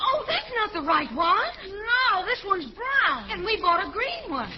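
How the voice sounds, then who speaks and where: An excitable young niece in old-time radio comedy. Her voice is extremely high and very animated, heard on a dull vintage recording. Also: unhurried, noisy background, exaggerated voice. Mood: fretful. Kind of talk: 1940s radio comedy acting.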